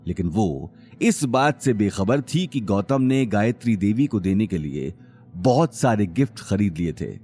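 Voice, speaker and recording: A man's voice: lowish voice, a little mellow, breathy edge. A man working as narrator, reading a scripted dramatic story.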